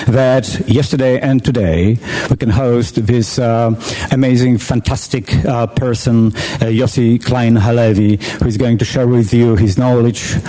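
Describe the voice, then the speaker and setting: A man with a Polish accent, a festival organizer, giving an emphatic but halting welcome speech, repeating words and filling pauses. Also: mid-range voice, warm tone, poor audio. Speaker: festival organizer introducing a speaker. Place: event hall.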